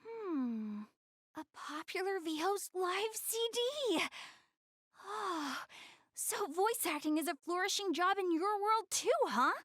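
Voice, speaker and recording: A woman's voice: extremely high pitch, slow pace, no room sound, studio quality, heavily acted. A woman voicing a proud, easily flustered young goddess girl in an anime game dub, speaking expressively and directly to the player. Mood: casual, curious. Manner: expressive, hesitant when covering up embarrassment.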